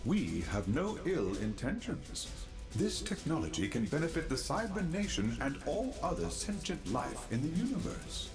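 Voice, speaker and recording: An eccentric, fatherly old scientist in a video game, formal and wordy. His voice sits a little high and moves up and down in lively swings. He chooses every word precisely, with proud self-regard, over loud music. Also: moderate pace, few pauses. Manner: formal, verbose, precise diction. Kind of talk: video game character acting.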